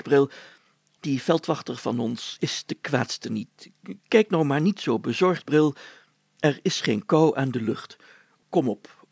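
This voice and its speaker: A man's voice: medium pitch, creaky, darkish tone, breathy edge, dry recording, exaggerated voice. A man voicing a jovial, self-assured village mayor in a storybook, with emphatic, confiding talk.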